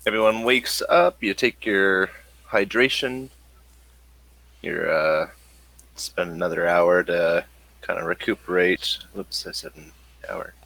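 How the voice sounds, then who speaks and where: A man running a tabletop role-playing game as game master, improvising narration in a halting, thinking-aloud way. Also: fairly low pitch, gravelly, fairly bright tone, no room sound.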